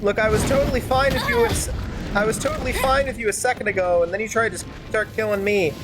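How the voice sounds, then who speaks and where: A woman streaming a game, chatting casually and wryly in halting, improvised commentary. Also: fairly high pitch, steady tone. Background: game music.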